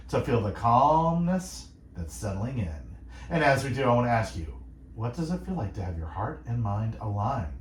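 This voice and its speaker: A male radio host speaking in a calm, soothing, meditative way, gently musing and asking reflective questions. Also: medium pitch, roomy sound. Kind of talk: gentle devotional radio talk.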